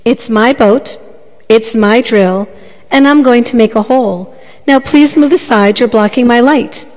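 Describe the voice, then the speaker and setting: A woman storyteller voicing a stubborn fisherman who speaks in a calm, nonchalant way. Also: high voice, natural voice. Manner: nonchalant, slow explaining. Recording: long hall reverb.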